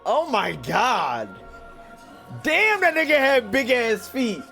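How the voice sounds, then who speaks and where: American guy streaming a game, super animated, sweary and slangy, bit of music in the background. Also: very high voice, slow, vocal fry. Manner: profane, slangy, teasing, animated. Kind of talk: casual live-stream commentary. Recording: faint game music behind.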